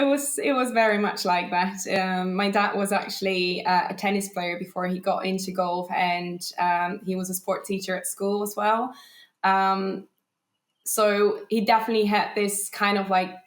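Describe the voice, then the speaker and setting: A woman from Poland talks as a podcast guest about her golf coaching. She speaks thoughtfully and often restarts her sentences. Her voice sits at a middle pitch and has a roomy echo around it. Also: steady tone, a little slow. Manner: thoughtful, frequent restarts and fillers, light laughs. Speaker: guest, golf teaching professional. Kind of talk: casual podcast interview.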